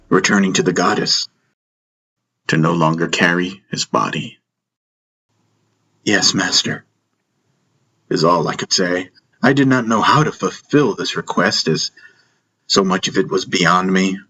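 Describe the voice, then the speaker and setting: A man's voice: sits low, pushed and bright, slightly rough. A man narrating a first-person story as a dramatic audiobook.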